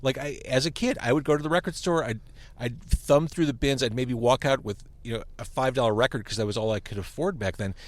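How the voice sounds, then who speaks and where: A man's voice: mid-range voice, fairly quick, no room sound. A man hosting a casual podcast conversation from a restaurant, introducing guests with a joking manner. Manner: lively, joking, reads a review aloud. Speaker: podcast host. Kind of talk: casual chat.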